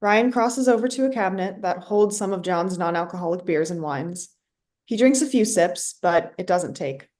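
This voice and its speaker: A woman's voice: fairly low pitch, expressive, normal speed, darkish tone. A woman reading scripted stage directions as narrator for a play reading.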